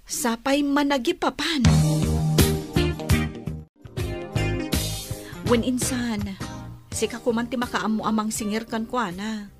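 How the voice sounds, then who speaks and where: An actress playing a practical, sensible wife in a radio drama, calm and gently reasoning. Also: female voice, fairly high pitch, normal speed, over loud music, lightly acted. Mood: worried.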